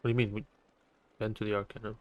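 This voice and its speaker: A man's voice: on the low side, little pitch movement, racing, highs cut off. A young man giving casual, slangy commentary as he plays a video game. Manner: slangy, informal. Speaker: gaming video creator. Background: game music.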